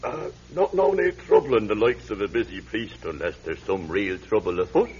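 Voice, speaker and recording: An actor playing a sickly, guilt-ridden old Irish drinker in a radio drama, halting and lamenting in an Irish accent. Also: male voice, on the low side, very animated, darkish tone, husky, exaggerated voice. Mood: ailing, pleading. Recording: muffled vintage radio recording, faint hum.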